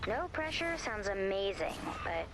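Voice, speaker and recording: An actress voicing a bold, bossy and fiercely loyal girl in an animated video game, blunt and expressive. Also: medium pitch, unhurried, over music, rough recording. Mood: wry, musing.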